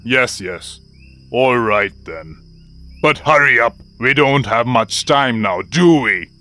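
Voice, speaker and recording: A man's voice: medium pitch, animated, slow, bright, forceful tone. A man voicing a haughty, menacing lion king in a cartoon, sly and mockingly polite. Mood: threatening. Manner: mocking, drawling mock politeness. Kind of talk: cartoon voice acting.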